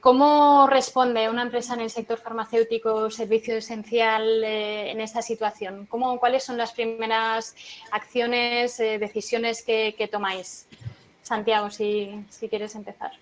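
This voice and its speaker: A woman moderating a webinar panel, inviting speakers in turn and posing questions in a measured way with hesitant fillers. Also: on the high side, level tone, a little hiss or hum, poor audio.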